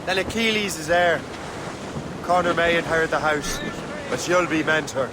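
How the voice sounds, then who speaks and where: A man's voice: a little high, fairly even intonation, moderate pace, natural voice. A man acting a cocky, teasing young assassin trainee in a video game, cheeky and sardonic. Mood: teasing, doubtful. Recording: noisy sea and wind behind.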